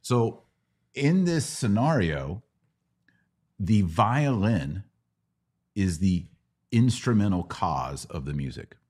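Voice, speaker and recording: A man's voice: on the low side, big pitch swings, slow, long pauses, a little mellow. A middle-aged man hosting a casual live question-and-answer show, teaching step by step with questions and simple analogies. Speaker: podcast host answering Bible questions.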